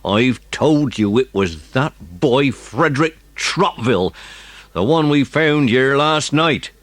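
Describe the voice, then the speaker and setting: A pompous village policeman for a dramatised children's story. The voice is pushed well up in pitch and swings widely. He is a blustering, bullying sort, hot and exasperated, recorded close and dry. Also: lively intonation, takes it slowly, no echo. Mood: cross, blustering. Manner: blustering, shouting, grumbling.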